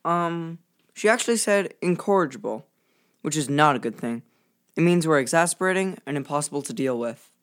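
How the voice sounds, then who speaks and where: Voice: mid-range voice, animated, a little fast, lightly acted. An actor playing a bookish, precise boy in a children's audio drama, speaking in a pedantic, matter-of-fact way.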